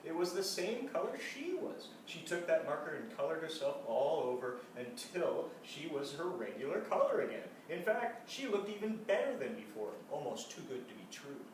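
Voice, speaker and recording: A man reading a children's storybook aloud in an animated style, with casual asides. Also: on the high side, normal speed, hardly any pauses. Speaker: storybook reader. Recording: echoey room, distant mic, faint noise.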